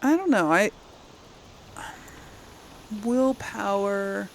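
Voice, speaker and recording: A woman's voice: mid-range voice, animated, very slow, creaky, slight background noise, rough recording. A woman hosting a casual gaming let's-play, chatting and thinking aloud as she plays. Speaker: gaming channel host playing through a game.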